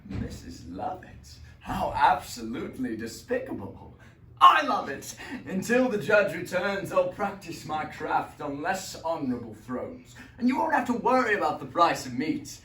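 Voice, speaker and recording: A man playing a brooding, vengeful barber who is sly and menacing, moving from hushed threats to sudden shouts. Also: on the high side, very animated, a little slow, full character voice. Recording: echoey room, distant mic, faint noise.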